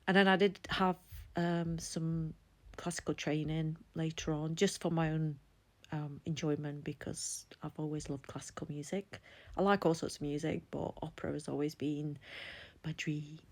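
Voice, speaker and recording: A woman's voice: low voice, flat delivery, moderate pace, darkish tone. A woman in her forties from Latvia, talking candidly to camera in a chatty, personal vlog. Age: 40s. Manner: chatty, candid, laughs between thoughts. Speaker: vlogger. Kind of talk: casual personal commentary.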